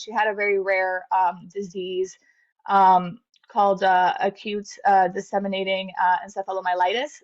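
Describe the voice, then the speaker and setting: A woman in her thirties, a guest in an interview, tells her story in an earnest, reflective way, with many fillers and restarts. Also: medium pitch. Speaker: interview guest, medical graduate. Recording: slightly dull lo-fi audio.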